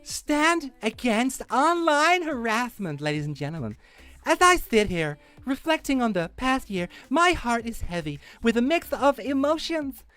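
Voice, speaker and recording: Man putting on a very high, melodramatic pleading woman. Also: sing-song, measured pace, pushed and bright, clean tone, no echo, soft background music, exaggerated voice. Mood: mournful, melodramatic. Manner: theatrical, mock-solemn delivery. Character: melodramatic, self-pitying woman pleading for support. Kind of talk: mock dramatic reading.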